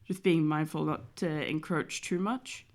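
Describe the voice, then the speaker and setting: A woman's voice: sits low, fairly even intonation, unhurried. A young woman streamer, nasal and stuffy from a cold, chatting casually and rambling with many fillers as she draws. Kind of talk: casual chat while drawing.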